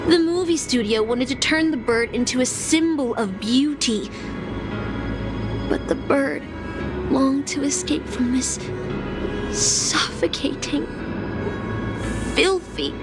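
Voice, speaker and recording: A woman playing a proud, bitter fallen singing film star in a video game, with slow theatrical delivery. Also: high voice, animated, over music. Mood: wistful, bitter. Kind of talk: dramatic video game voice acting.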